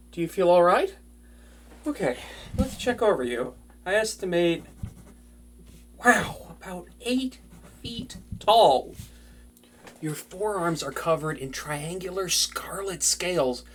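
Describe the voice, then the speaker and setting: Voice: mid-range voice, animated, slow pace, pauses often. A performer voicing an earnest, flustered young wizard in an intimate fantasy audio drama, chatty and prone to anxious stammering. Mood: worried, focused.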